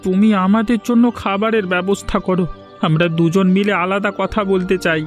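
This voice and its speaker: A man's voice: high-pitched, steady tone, fairly quick, close to an everyday voice. A man voicing a greedy, bossy, calculating village bandit in a cartoon. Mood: suspicious, curt. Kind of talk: cartoon voice acting. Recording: music behind the voice.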